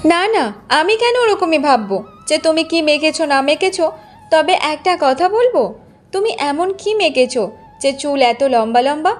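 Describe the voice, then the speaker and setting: A woman's voice: high voice, lively intonation, normal speed. A woman voicing a vain, bossy young village wife in a cartoon, demanding and boastful as she nags. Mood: suspicious, surprised. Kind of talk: Bengali cartoon voice acting. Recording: music bed under the voice.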